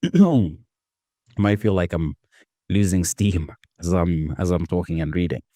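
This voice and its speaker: Low-voiced guy getting over a cold, a bit hoarse, easygoing devotional talk, dry close sound. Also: animated, no echo. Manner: unhurried, gentle, self-correcting. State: recovering from a cold, slightly hoarse. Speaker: devotional host. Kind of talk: casual devotional reflection.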